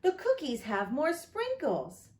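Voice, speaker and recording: A woman's voice: sits high, wildly swooping pitch, slow, reverberant, pitched above the performer's own voice, full character voice. A woman voicing an earnest, easily discouraged little girl from a children's picture book. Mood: excited.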